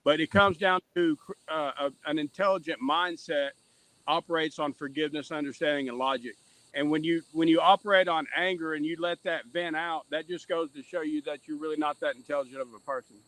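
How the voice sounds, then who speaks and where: A man's voice: fairly high pitch, brisk, fairly bright tone, no room sound, poor audio. A man speaking as a podcast guest, explaining his views earnestly and reflectively, repeating phrases for emphasis. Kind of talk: reflective conversational interview talk.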